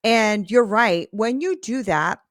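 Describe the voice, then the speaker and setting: A woman's voice: medium pitch, slow, dry recording, clean studio sound. A woman hosting an interview podcast, chatty and emphatic, often checking in with "right?". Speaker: podcast host.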